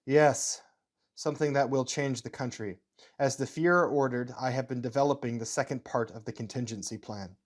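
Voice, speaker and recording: Casting: man playing earnest, calculating wartime scientist for a dark story, American accent, measured delivery. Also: medium pitch, close to an everyday voice. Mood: matter-of-fact.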